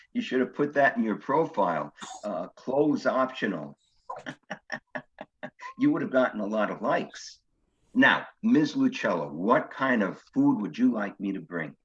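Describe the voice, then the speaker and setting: A man's voice: fairly low pitch, lively intonation, a little slow. An actor playing a courteous, precise widowed grandfather courting on a video date in a stage comedy, earnest and a little formal, with dull, muffled sound. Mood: teasing.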